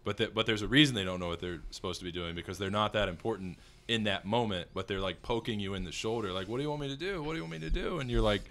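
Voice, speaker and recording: A man in his thirties with a big voice, a filmmaker talking through his thoughts in a casual conversation, halting and restarting as he goes. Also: fairly low pitch, fairly bright tone. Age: 30s. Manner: rambling, halting, with frequent restarts. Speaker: filmmaker guest.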